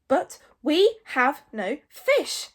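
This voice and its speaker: A woman's voice: extremely high pitch, big pitch swings, measured pace, voice pushed up, heavily acted. A woman voicing an anxious, exasperated young Viking boy in a storybook, earnest and easily flustered.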